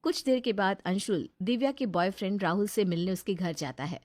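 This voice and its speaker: A woman narrating a Hindi moral story in a steady, plain storytelling manner. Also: mid-range voice, brisk, no echo.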